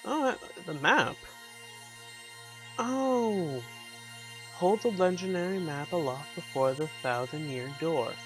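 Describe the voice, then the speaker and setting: A man's voice: a little high, lively intonation, takes it slowly, long pauses, fairly bright tone, faint music behind. A man giving casual, wry game commentary and reading game text aloud in an offhand way. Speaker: video game player.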